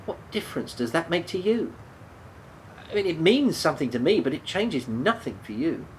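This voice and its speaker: Man acting a stammering, guilt-ridden man, noisy recording. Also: normal speed. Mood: agitated, defensive. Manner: hesitant, stammering, repeating himself. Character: remorseful, defensive man haunted by a fatal drunk-driving crash. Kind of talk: naturalistic radio play dialogue. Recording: steady background noise, low rumble.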